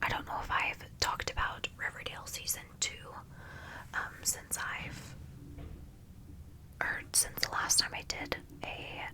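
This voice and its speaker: A young woman chatting in a soft whisper for an ASMR video, gushing with lots of ums, her voice stuffy and nasal from congestion. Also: measured pace, pauses often. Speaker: ASMR creator.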